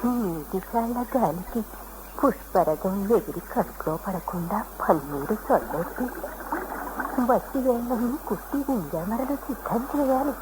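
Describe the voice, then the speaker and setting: Actress as a slow, devout old hermit woman. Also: mid-range voice, expressive, natural voice. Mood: devout yearning. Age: older. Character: devout elderly hermit woman, yearning, tender, fussing over welcome. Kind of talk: Indian radio drama acting. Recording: noisy old radio drama recording.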